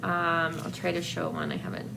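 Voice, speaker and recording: Halting woman with a creaky voice. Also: on the low side, sing-song, background noise. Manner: hesitant, halting, rambling. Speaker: book vlogger. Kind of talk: casual book review chat to camera.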